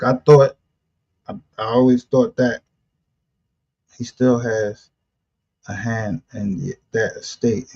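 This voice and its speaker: Husky man talking trash, lo-fi. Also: fairly low pitch, dark tone. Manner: blunt, street slang, profane. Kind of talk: casual livestream chat. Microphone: streaming call. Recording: dull lo-fi stream audio.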